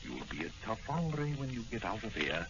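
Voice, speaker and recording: Confiding doctor, swooping low voice. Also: male voice, big pitch swings, normal speed. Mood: secretive, assured. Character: secretive doctor friend offering a miracle formula. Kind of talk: 1940s radio acting. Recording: noisy, muffled old-time radio recording.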